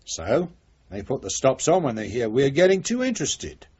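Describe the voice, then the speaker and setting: A British actor playing a cornered, wily, middle-aged chief inspector in a radio drama, blunt, sardonic and probing. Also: male voice, medium pitch, wildly swooping pitch, moderate pace, darkish tone, husky. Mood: probing, cynical. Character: cornered, wily veteran police chief inspector, defiant and cynical. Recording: dull, muffled old radio recording.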